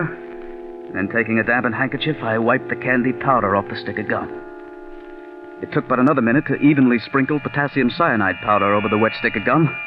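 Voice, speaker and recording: Man playing a fast-talking, coldly scheming radio murderer. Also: medium pitch, natural voice. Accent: American. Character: coldly scheming, vengeful male radio scriptwriter turned murderer. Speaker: actor narrating and playing the lead. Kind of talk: 1940s radio mystery acting and first-person narration. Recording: tinny vintage radio recording, music behind.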